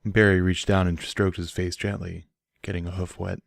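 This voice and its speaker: A man's voice: deep voice, flat delivery, normal speed, gravelly, no room sound, clean studio sound. A man reading a story aloud as scripted audiobook narration. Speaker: fan fiction audiobook reader.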